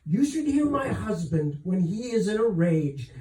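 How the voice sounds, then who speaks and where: A man voicing a gracious, composed queen from a storybook, speaking in a calm, courteous way. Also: high voice, measured pace, echoey room, recorded from a distance, rough recording. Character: composed, gracious queen reassuring a ship's captain.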